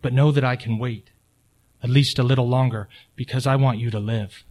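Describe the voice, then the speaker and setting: A cold woman guard talks down to her prisoner in slow, deliberate, menacing words, as a man reads her aloud in his own plain voice. The tone never lifts into warmth. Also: mid-range voice, steady tone, lightly acted. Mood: goading. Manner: slow, deliberate, menacing second-person address.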